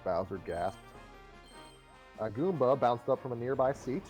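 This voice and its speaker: Male story narrator, medium pitch, live-stream read-aloud, occasional re-reads, background music. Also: animated, unhurried, a little mellow. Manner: stumbles over and re-reads phrases. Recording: music behind the voice.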